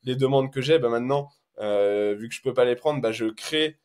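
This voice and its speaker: A man who is a guest SEO consultant, talking in a relaxed, hesitant way with many fillers. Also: medium pitch, moderate pace. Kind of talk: casual podcast chat.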